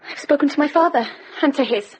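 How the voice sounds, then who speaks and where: A woman's voice: high voice, quick pace, lo-fi sound, lightly acted. An actress playing an anxious, impassioned young noblewoman in love, speaking with urgency in a radio drama. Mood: resolute.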